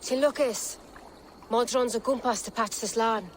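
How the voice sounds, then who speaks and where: A woman's voice: a little high, takes it slowly, slight background noise. Playful village woman. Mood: teasing. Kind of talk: dramatic game cutscene acting. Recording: faint outdoor ambience.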